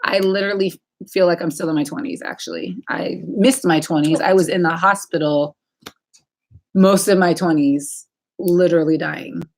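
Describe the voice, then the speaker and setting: A woman's voice: lowish voice, fairly even intonation, moderate pace. A woman in her thirties hosting a casual livestream, chatting informally and answering questions in a rambling way. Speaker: livestream host.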